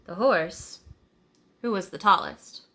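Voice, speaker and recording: Bright-voiced woman reading slowly to children. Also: mid-range voice. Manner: deliberate, child-directed, explains words and asks questions. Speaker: teacher reading a picture book aloud to young children. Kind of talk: storybook read-aloud with simple asides and questions to children.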